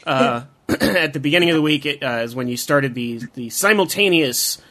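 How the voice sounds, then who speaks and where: A man's voice: medium pitch, normal speed, sharp tone. A man hosting a podcast, asking loose, friendly questions full of fillers. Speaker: podcast host. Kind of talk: casual interview.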